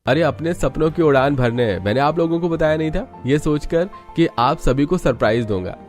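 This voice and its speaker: A man's voice: on the high side, lively intonation, normal speed, darkish tone, faint music behind, pitched above the performer's own voice, close to an everyday voice. A man voicing a boastful, idle young husband in a cartoon story, brash, self-assured and dismissive. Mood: cocky.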